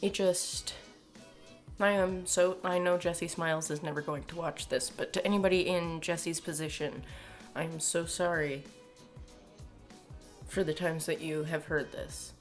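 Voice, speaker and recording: A young woman with a Canadian accent talks casually to camera in a fairly low voice. She is emphatic and exasperated, with a sardonic edge, as she shares her opinions. Soft music plays behind her. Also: fairly even intonation, a little slow. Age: young adult. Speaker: video commentator. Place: small home room, indoors.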